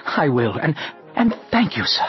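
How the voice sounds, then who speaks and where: Nervous meek clerk, stammery, lively pitch, radio play with music behind. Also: male voice, moderate pace. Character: timid, deferential underpaid clerk. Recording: dull lo-fi audio with music behind.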